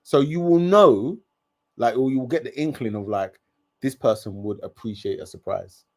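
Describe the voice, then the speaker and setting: A man's voice: medium pitch, normal speed. A man hosting a casual live stream and reading viewer comments aloud. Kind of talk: casual chat reviewing a reality show.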